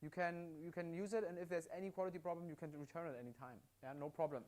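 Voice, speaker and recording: A man's voice: fairly high pitch, steady tone, very fast, darkish tone. An upbeat man with a German accent walks viewers through a product on a live stream. He explains step by step and keeps checking in with little "yeah?" tags. His mic is clipped at his side. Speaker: livestream product presenter. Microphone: mic worn at his side.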